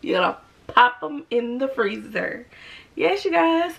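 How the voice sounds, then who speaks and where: A woman's voice: sits high, animated, measured pace, creaky. A young woman chatting casually to her viewers in a cook-with-me video at home, playful and talking straight to them. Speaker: cooking vlogger. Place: home room, indoors.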